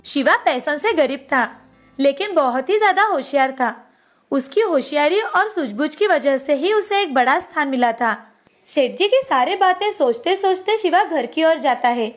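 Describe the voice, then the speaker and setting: High-pitched woman narrating a bedtime story. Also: animated, moderate pace.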